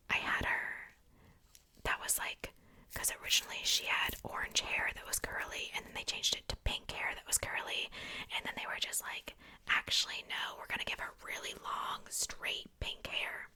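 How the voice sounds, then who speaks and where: A woman whispering softly in a casual, chatty ASMR style, rambling along with conversational asides. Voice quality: soft unvoiced whisper. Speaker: ASMR video host showing a doll collection.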